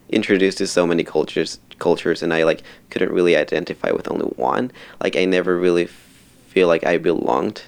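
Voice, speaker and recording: Young Mexican-born man, very low gravelly voice, halting chatty guest. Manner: halting, many fillers and restarts. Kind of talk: casual interview chat.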